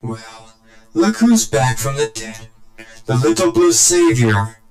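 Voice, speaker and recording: A man's voice: lowish voice, wildly swooping pitch, takes it slowly, darkish tone, soft background music, exaggerated voice. A man playing a mocking, menacing game arch-villain with a superior, taunting, grandiose delivery. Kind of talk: fan game cutscene voice acting.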